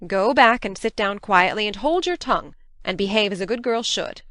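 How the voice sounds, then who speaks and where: Woman acting stern, brisk spinster with lively intonation. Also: mid-range voice, no echo, clean studio sound, close to an everyday voice. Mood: cross, severe. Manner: crisp, scolding, no-nonsense. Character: stern, brisk, practical middle-aged spinster farm woman. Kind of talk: audiobook dramatic reading.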